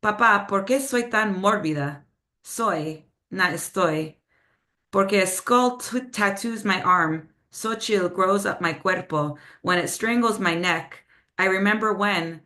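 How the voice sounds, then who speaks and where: A woman's voice: medium pitch, pushed and bright. A woman whose first language is Spanish, reading her own poems over a video call and chatting casually between them with lots of "um" and "like.". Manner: slower, measured delivery with Spanish phrases when reading poems. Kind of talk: poetry reading with casual spoken introductions.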